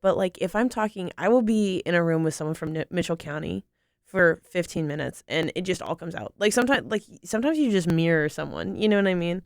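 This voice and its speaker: A woman's voice: fairly low pitch, expressive, brisk, gravelly, no room sound, clean studio sound. A young woman from North Carolina, a podcast guest, chatting enthusiastically and rambling with lots of "like"s. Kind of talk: casual conversation.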